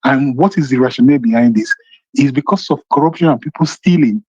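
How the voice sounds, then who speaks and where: A man's voice: medium pitch, fast, a little mellow, slightly rough, no room sound. A man with a Nigerian Yoruba accent giving emphatic political commentary as a phone-in caller, repeating points and answering his own questions. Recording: muffled, band-limited phone call.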